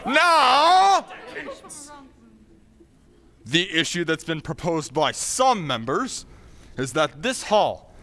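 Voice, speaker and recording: A man's voice: fairly high pitch, sing-song, drawn-out words, pauses often, sharp tone, some chatter behind, exaggerated voice. A man playing an earnest, authoritative town meeting leader in a radio play, emphatic and formal. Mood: commanding. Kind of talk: old-time radio drama acting.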